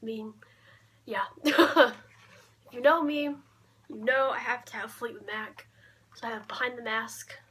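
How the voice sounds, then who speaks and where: A young woman talking casually in a low-key show-and-tell vlog, often trailing off, recorded on a camera mic in a bedroom. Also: fairly even intonation, measured pace, long pauses, fairly bright tone. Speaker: vlogger showing a collection. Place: small cluttered bedroom, indoors. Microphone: camera mic at arm's length. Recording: clear background noise, handling clicks.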